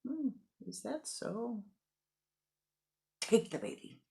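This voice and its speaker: A woman voicing a calm male village monk who answers accusations mildly. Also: a little high, very slow, gravelly, pitched above the performer's own voice, exaggerated voice. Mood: unruffled. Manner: calm, mild, unruffled.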